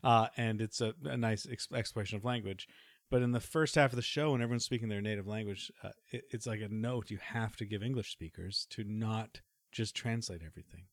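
A man's voice: fairly low pitch, brisk, no echo, clean studio sound. A man hosting a podcast, chatting casually in a rambling, thoughtful way full of fillers. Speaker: podcast host.

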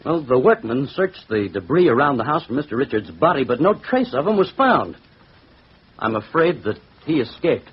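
An actor playing a grave friend bearing ominous news in old radio drama, with a husky voice. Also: male voice, medium pitch, sing-song, normal speed. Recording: very tinny, narrow old radio recording.

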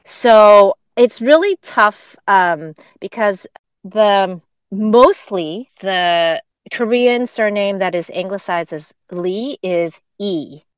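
A woman co-hosting a relaxed podcast, talking slowly and explaining things with plenty of fillers and easy laughter. Her voice is smooth and clear. The sound is dry and close. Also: medium pitch, dry recording. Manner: chatty, laughs often, explains pronunciation with fillers. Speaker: podcast co-host. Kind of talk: casual podcast chat.

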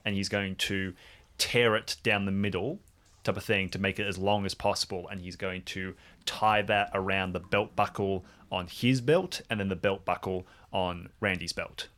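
Male tabletop player, slightly low voice, casual halting narration of character actions. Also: fairly even intonation, fairly bright tone. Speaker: tabletop role-play player.

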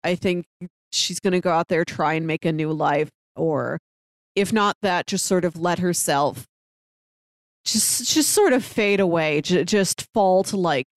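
A woman's voice: on the low side, unhurried, dry recording, clean studio sound. A woman co-hosting a podcast, talking through a TV story in a casual, conversational way. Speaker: podcast co-host. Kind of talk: casual TV discussion chat.

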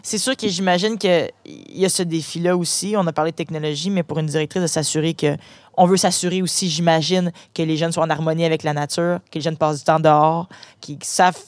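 A woman's voice: low voice, a little mellow, dry recording. A woman with a Canadian French accent hosting a casual, chatty interview with schoolchildren and their principal. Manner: friendly, chatty, restarting phrases. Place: inside a parked truck.

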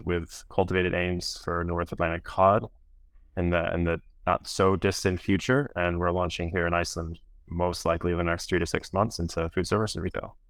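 A founder introduces himself on a panel, pausing often and filling gaps. His voice is very low and smooth, and the recording is dry. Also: dry recording. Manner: hesitant, many fillers. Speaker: company founder.

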